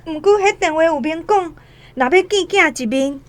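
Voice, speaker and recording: A woman's voice: very high voice, moderate pace. An actress playing a worried, devout mother and wife in a radio drama, anxious and questioning, with a Taiwanese Hokkien accent.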